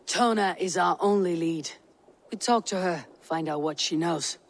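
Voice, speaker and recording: An actor playing a resolute, unsentimental Viking raider in a video game, speaking in a blunt, clipped manner. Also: male voice, high voice, measured pace, vocal fry. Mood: businesslike. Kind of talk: dramatic video game acting.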